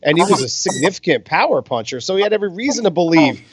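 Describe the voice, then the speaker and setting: A man giving casual, steady boxing analysis as a podcast co-host. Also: on the high side, quick pace, breathy edge. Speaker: podcast co-host commentating on fights. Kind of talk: casual fight commentary. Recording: dull, dry lo-fi recording.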